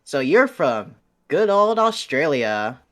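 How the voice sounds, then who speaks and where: A man casually interviewing a friend, relaxed and joking, rambling with fillers. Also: on the high side, slow pace, noisy background. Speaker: guest interviewer, podcast host.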